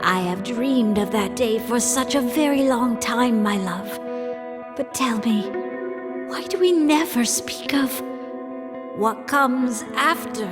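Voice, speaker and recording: Lady doing a gentle worried wife in a fantasy drama, unhurried, music behind her. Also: fairly high pitch. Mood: tender, concerned. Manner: gentle, measured, intimate. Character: tender, concerned wife of a god butcher. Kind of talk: scripted fantasy audio drama.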